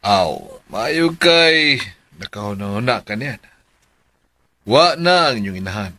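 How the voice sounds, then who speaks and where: An animated, bright male voice as a stern, anxious father. It is medium in pitch and weighty, with probing radio drama delivery. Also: measured pace, dry recording. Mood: stern, worried. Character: stern, anxious widowed father questioning his daughter.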